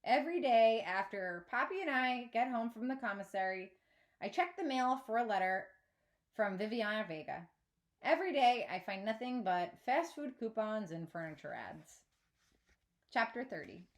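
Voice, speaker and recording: Woman reading a story aloud, fairly high voice. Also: lively intonation, moderate pace. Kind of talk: expressive scripted story narration.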